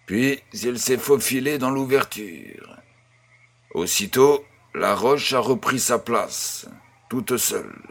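A man playing a kindly grandfather who tells a bedtime tale in a measured, gentle, husky voice. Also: on the low side, heavily acted. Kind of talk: scripted audio storytelling.

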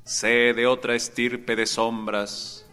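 Hombre, recitación pausada, reverberación larga, música de fondo. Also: male voice, medium pitch, flat delivery, bright, forceful tone, clear, resonant tone. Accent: Mexican. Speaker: poetry reader. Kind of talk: slow dramatic poetry reading. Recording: long reverb over music. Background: music.

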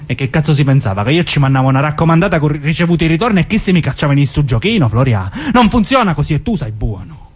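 An actor playing a menacing, evasive southern Italian mafioso in a theatrical dramatic reading, with a southern accent. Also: male voice, on the high side, expressive, fast, husky. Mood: cajoling, menacing.

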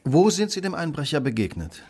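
A man's voice: on the high side, big pitch swings, a little fast, a little mellow, slightly rough, full character voice. An actor playing a shrewd, composed male detective in a German radio drama, probing and precise with dry irony. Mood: inquiring. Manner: probing, precise, dryly ironic.

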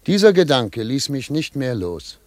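An actor playing a brisk, self-assured middle-aged American lawyer who narrates and talks in a German radio play, with a theatrical, pondering manner. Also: male voice, mid-range voice, very animated, a little mellow, dry recording. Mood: pensive. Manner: theatrical, pondering aloud, repeating words for emphasis. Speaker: narrator and lead character.

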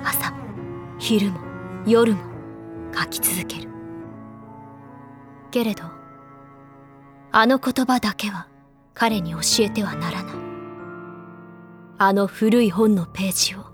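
A woman's voice: medium pitch, takes it slowly, pauses often, over music, natural voice. Woman as a solemn, haunting ghost-story narrator. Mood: solemn, ominous. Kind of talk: dramatic scripted narration.

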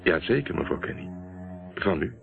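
A shrewd investigator in a radio drama, with a very low voice and dry, probing delivery. He teases and presses in a controlled way. The sound is narrow and muffled like an old radio tape. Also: lively intonation, normal speed, creaky, faint music behind. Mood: dry, knowing. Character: shrewd, teasing male investigator, calmly pressing. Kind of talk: radio play acting.

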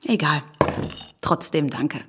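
A woman's voice: low voice, slow pace, breathy edge, full character voice. An actress playing a sardonic married city woman in an audio drama, speaking in a dry, ironic, conversational way. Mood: teasing. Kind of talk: German audio drama acting.

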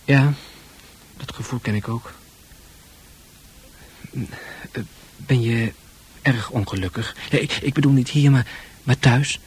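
An actor playing a shy, tender young suitor in a radio play, halting and stammering as he speaks, earnest and protective. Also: mid-range voice, darkish tone, breathy edge. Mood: gentle, subdued. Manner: halting, stammering, repeats words. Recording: music behind the voice.

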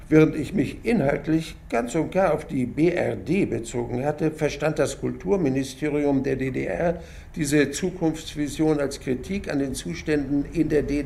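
An actor playing a proud, aggrieved older playwright in a mock-documentary interview, disdainful and deliberate. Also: male voice, medium pitch, vocal fry, a little mellow. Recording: faint ambient noise.

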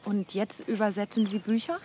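An actress playing a resolute, teasing young widow in colloquial radio play dialogue. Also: female voice, medium pitch, flat delivery, normal speed, mellow, slight background noise, natural voice. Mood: laconic, teasing.

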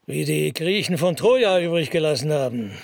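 A man playing a young Persian king in a comic radio play, boastful yet meek and halting. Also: fairly high pitch, expressive, gravelly, crowd noise. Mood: grandiose. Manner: hesitant, halting, awkward grandeur.

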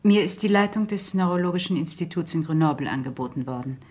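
An actress playing a proud, challenging neurologist in a German radio crime drama, formal and pointed. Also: female voice, low-pitched, lively intonation, quick pace, a little mellow. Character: proud, challenging female neurologist, jealous colleague.

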